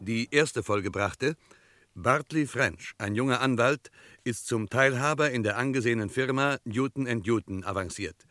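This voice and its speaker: Bright, formal male radio announcer. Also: medium pitch, normal speed, dry recording. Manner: formal, precise. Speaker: radio drama director and announcer. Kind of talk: 1960s German radio drama announcing, scripted recap.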